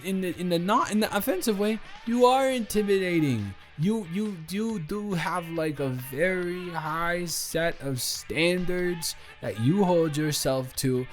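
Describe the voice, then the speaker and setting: A man's voice: sits high, animated, a little slow, hardly any pauses, soft background music. A man playing a drunk young college roommate who rambles and stumbles sheepishly through a love confession, in slangy improvised audio drama. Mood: rambling, admiring. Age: young adult. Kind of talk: improvised roleplay audio drama, one-sided conversation.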